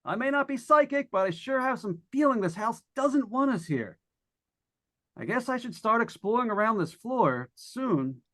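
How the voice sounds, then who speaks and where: Man acting skeptical everyman broadcaster, lively swinging pitch, informal halting delivery, jumpy, vintage radio thriller. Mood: uneasy. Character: plain-spoken skeptical male broadcaster exploring a haunted house. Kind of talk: live old-time radio suspense acting.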